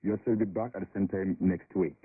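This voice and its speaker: A man's voice: low-pitched, fairly even intonation, brisk, slightly rough. A man hosting a radio news programme, reading scripted announcements and headlines over a shortwave broadcast. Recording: muffled narrow-band shortwave radio.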